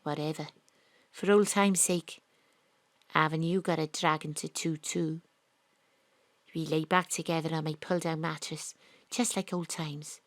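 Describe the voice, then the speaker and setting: A woman with a Welsh accent, narrating and playing the lead in a comic radio drama with a wry, brash, deadpan delivery from a home studio. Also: low voice, unhurried, pauses often, dry recording. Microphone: studio mic over a live internet link.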